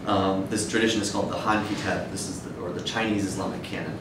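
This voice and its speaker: Male academic, deep voice, measured and hesitant lecture delivery, recorded from afar in a reverberant room. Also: little pitch movement. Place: dim wood-shelved seminar room with audience, indoors. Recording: echoey room, distant mic, noisy rumble.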